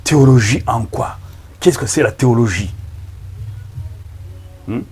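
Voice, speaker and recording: A man with a Rwandan accent, a native Kinyarwanda speaker, preaching as a pastor in a halting, emphatic manner with repeated words. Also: mid-range voice, darkish tone, slightly rough, soft background music. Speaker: pastor and evangelist. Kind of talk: preaching introduction to camera.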